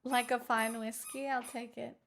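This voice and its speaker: A woman's voice: a little high, flat delivery, moderate pace, vocal fry, heavy background noise. A woman livestreamer chatting casually with her viewers, playful, teasing and self-mocking. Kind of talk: casual stream chat with viewers.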